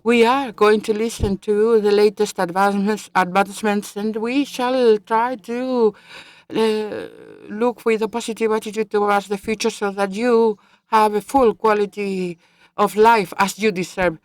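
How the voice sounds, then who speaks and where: A woman giving simultaneous interpretation of a conference speech, halting, with fillers and self-corrections. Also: medium pitch, steady tone, a little mellow, no echo.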